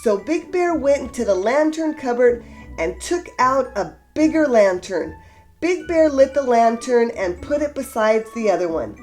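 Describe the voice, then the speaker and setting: A woman reading a children's storybook aloud with deliberate, expressive storytelling emphasis. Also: a little high, soft background music. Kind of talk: expressive read-aloud narration for young children.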